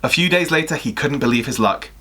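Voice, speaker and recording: A man's voice: mid-range voice, animated, fast talker, sharp tone. A young adult man reading a story aloud in a theatrical way, acting out the dialogue. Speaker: guest reader of listener fan fiction. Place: small attic bedroom, indoors.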